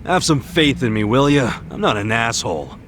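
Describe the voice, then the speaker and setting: A man's voice: medium pitch, big pitch swings, normal speed, fairly bright tone, a little hiss or hum. An actor playing a tough, streetwise but decent man in an English video game dub, talking in casual slang. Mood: taunting. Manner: casual, slangy, plain-spoken.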